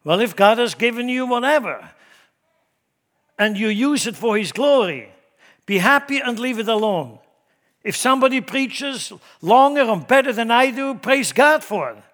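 A middle-aged man with a Swiss German accent, preaching a sermon in church, emphatic and shifting from loud to hushed. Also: very high-pitched, expressive, normal speed. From Switzerland. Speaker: pastor.

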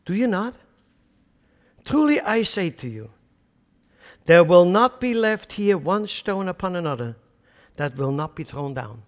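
A man's voice: sits high, sing-song, measured pace, long pauses, in a large hall. A man from central Switzerland with a Swiss German accent, preaching a sermon with emphatic rhetorical questions. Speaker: pastor.

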